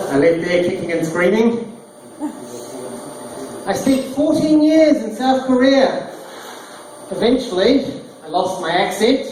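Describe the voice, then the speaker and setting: A man doing stand-up one-liners in a deadpan delivery. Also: high-pitched. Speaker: stand-up comedian. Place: small bar stage, roomy and echoey. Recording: echoey distant bar recording, noisy. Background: small crowd laughing, bar noise.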